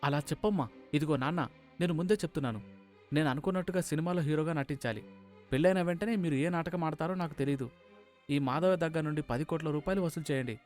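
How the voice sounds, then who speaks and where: A man's voice: on the high side, fast, darkish tone, soft background music, close to an everyday voice. A man voicing a spoiled, greedy young bridegroom son with a petulant, self-centred manner. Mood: demanding.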